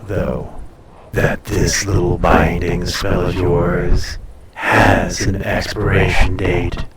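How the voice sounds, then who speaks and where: A man voicing an ancient, haughty, possessive dragon in an intimate audio roleplay, speaking formally and deliberately with a breathy, softly menacing, seductive delivery. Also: sits low, roomy sound, far from the mic. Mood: hungry, seductive.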